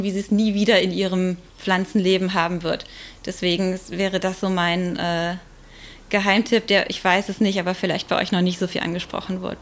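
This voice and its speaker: A woman with a mid-pitched voice speaks as an expert guest in an interview. She explains in an informal, thoughtful way, often pausing on filled hesitations as she goes.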